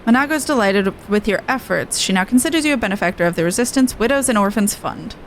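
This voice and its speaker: A woman's voice: mid-range voice, lively intonation, fast talker, a little hiss or hum, poor audio. A woman game streamer giving casual playthrough commentary and reading quest text aloud.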